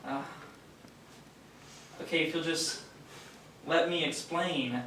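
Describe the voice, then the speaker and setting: A man acting in a stage play as a placating radio station underling, earnest and halting. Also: fairly high pitch, pushed and bright. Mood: nervous, evasive. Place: indoor theater stage, picked up from afar. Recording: echoey stage, distant mic, faint noise.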